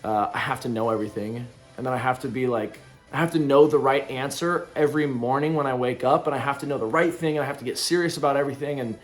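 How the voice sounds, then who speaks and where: A man's voice: mid-range voice, fast talker. Man, 30s, vlogger, casual reflective monologue, rambling delivery, faint background music. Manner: rambling, loose, full of ums and likes. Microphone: camera in front of him.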